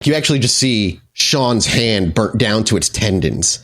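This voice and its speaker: A man narrating a tabletop vampire game as its game master, in a dramatic, halting storytelling manner. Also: lowish voice, expressive, a bit bright.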